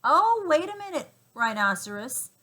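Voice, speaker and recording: A woman reading a storybook aloud and voicing a polite, quick-witted young man who sounds eager and apologetic. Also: fairly high pitch, big pitch swings, fairly bright tone.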